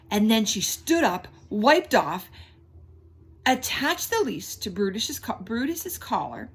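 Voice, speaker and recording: A woman with a medium-pitched, slightly husky voice reads a children's story aloud. She leans on key words and repeats them for emphasis. In between, she turns instructive, prompting her young listeners the way a teacher would. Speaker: read-aloud host reading a children's book to young listeners.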